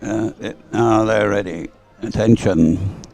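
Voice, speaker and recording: Low-voiced, mellow man commentating on sport. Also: slow, gravelly, no echo, faint music behind. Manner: rambling, hesitant, thinking aloud. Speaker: race commentator. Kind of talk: live dragon boat race commentary.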